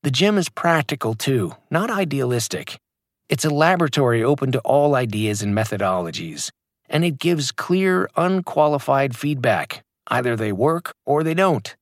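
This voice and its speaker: A man reads his own fitness book aloud in a motivational, emphatic way. His pitch moves a lot across the lines. The recording is dry and close, with no room sound. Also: very animated, moderate pace, no room sound. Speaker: author reading his own audiobook. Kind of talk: scripted audiobook narration.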